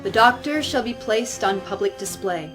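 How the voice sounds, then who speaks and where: A woman's voice: mid-range voice, lively intonation, moderate pace, lightly acted. Stern zealot priestess played by a woman, music behind. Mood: cold, commanding. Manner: formal, commanding, preaching. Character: stern, zealous female religious leader of a strict colony. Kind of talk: audio drama acting.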